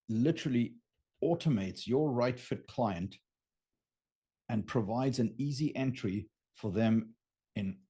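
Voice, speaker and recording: Husky low-voiced man coaching, native German speaker. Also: warm tone. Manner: emphatic, informal, checks in with "right?" and "okay?". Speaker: business coach hosting a live session. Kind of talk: unscripted teaching talk with drawn illustrations.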